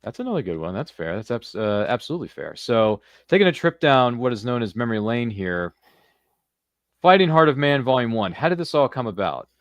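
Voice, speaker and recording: Casual male host, mid-pitched, dry sound. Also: big pitch swings, a little fast, dry recording. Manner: informal, buddy-like slang. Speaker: podcast host. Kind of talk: casual interview chat, reading out viewer comments.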